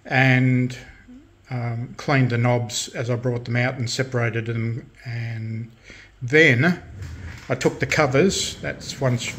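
A man hosting a casual hobby restoration demonstration, speaking unscripted in a halting, matter-of-fact way. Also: mid-range voice, fairly even intonation, a bit bright. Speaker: host of a hobby radio restoration channel. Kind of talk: casual hands-on demonstration talk. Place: indoors at a workbench in a room.

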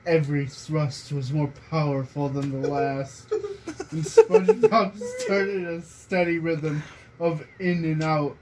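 A man's voice: fairly high pitch, wildly swooping pitch, slow pace. A young man reading fan fiction aloud to friends, prone to breaking into laughter, recorded in a small bedroom. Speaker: reader among friends. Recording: noisy casual room recording.

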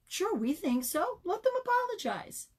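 A woman's voice: very high-pitched, very animated, moderate pace, voice pushed up. A woman reading a children's story and voicing eager young schoolboys calling out in class. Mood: eager, agreeable. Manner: exclaiming, eager.

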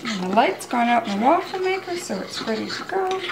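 A woman's voice: a little high, very animated, brisk. A middle-aged woman giving a chatty, step-by-step cooking demonstration in a casual way, as a home cook hosting her show. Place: home kitchen, indoors. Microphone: camera mic at a few metres. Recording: distant, roomy, loud kitchen clatter and noise.

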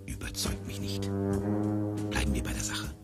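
An actor playing a controlling, argumentative circus tiger tamer in a radio drama, stern and admonishing. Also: male voice, very deep voice, lively intonation, moderate pace, vocal fry, loud music behind the voice, heavily acted.

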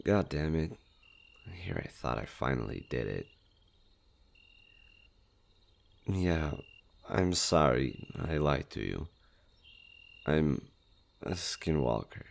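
A man's voice: a bass voice, big pitch swings, takes it slowly, vocal fry, long pauses, heavily acted. A man playing a shy, nervous, friendly skinwalker in human disguise in a roleplay audio drama, hesitant and stammering. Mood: resigned, apologetic.

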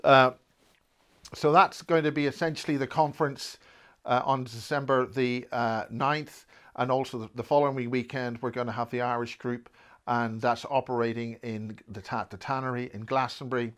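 A man's voice: medium pitch, fairly even intonation, moderate pace, fairly bright tone, no echo, studio quality. Older man, rambling, hesitant, many fillers, casual blog host. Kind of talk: casual video blog chat. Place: indoor studio, then outdoors by a road.

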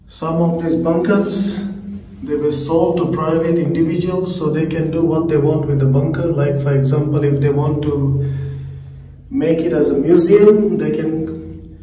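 A man vlogging as he explores an abandoned bunker, talking to viewers in a quiet, musing way. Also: a little high, steady tone, slow. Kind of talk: casual walk-through narration to camera. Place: inside an abandoned concrete bunker. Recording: echoey concrete room, a bit far from the mic.

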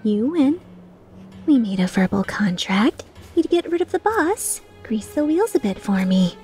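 A woman plays a mischievous young mercenary villainess in a game scene. Her voice is high and swings widely as she teases with sardonic, fake sincerity. Music plays faintly behind. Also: sing-song, measured pace, darkish tone. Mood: sly, sardonic. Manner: teasing, mock-sincere, sardonic. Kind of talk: fan dub of game dialogue.

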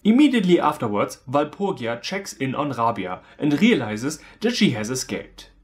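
A man's voice: mid-range voice, big pitch swings, moderate pace, soft background music. A man in his thirties with a German accent, giving a scripted comedic film review in a sarcastic, theatrical manner. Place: small room indoors, on a couch.

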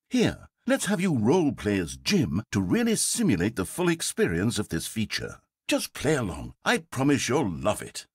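An actor playing a fussy, needy male game narrator, theatrical and quick to turn from coaxing to exasperated. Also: medium pitch, breathy edge, dry recording, studio quality. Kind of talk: video game voice acting.

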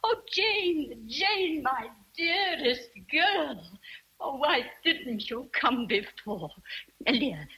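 A woman's voice: high-pitched, sing-song, very slow, bright, forceful tone, heavily acted, poor audio. An actress with an English accent playing a kindly, motherly old housekeeper in a radio drama, tender and grave. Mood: surprised, affectionate. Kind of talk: BBC radio drama acting.

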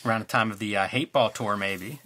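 A man in a casual show-and-tell chat about old comics, relaxed and musing, trailing off with fillers. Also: on the low side, brisk, noisy background. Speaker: co-host showing comics.

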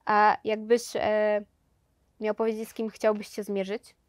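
A woman's voice: mid-range voice, little pitch movement, a little slow, dry recording. Young woman interviewer, dry studio sound. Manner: brief prompting questions. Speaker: sports interviewer. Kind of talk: interview questions. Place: studio set with sofas, indoors.

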